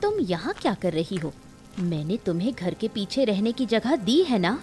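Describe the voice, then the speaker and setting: A woman's voice: medium pitch, sing-song, moderate pace, lightly acted. Lady doing mean bossy young daughter-in-law for a cartoon, very up and down, music behind. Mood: scornful, angry. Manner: harsh, taunting, threatening. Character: quarrelsome, cruel young daughter-in-law scolding. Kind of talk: Hindi cartoon family melodrama.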